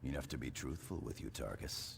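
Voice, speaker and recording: An actor playing a blunt, grim veteran space marine sergeant in a video game, gravelly and hushed, speaking in measured, terse phrases. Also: male voice, rumbling low, noisy background. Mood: challenging. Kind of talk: scripted video game acting.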